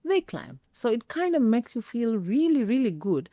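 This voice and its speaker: A middle-aged woman telling a personal story as an interview guest, speaking emphatically with pauses for effect. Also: on the high side, very animated, mellow, no echo. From Kenya. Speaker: interview guest, former air traffic controller.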